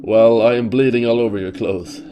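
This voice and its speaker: A man's voice: medium pitch, fairly even intonation, moderate pace, muffled recording, a little hiss or hum, close to an everyday voice. A man playing a wounded young skyfolk creature in a fantasy audio drama, speaking in a dry, resigned, polite way. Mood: curious, wry.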